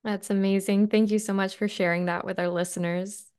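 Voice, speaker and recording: A woman's voice: mid-range voice, level tone, a little fast, darkish tone, smooth. A woman hosting a podcast, reading a polished, upbeat script. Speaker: podcast host.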